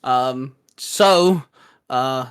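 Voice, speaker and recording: A man's voice: medium pitch, extremely slow, a bit bright, clear, resonant tone. A man hosting a hobby video, talking informally as he presents and chats. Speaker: host. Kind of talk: casual scripted intro and chat.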